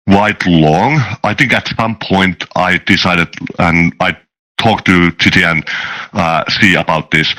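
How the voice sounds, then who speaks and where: A man talking casually as a game designer being interviewed, halting and often restarting his words. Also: low voice, pushed and bright, low-quality recording. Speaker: tabletop game designer, guest.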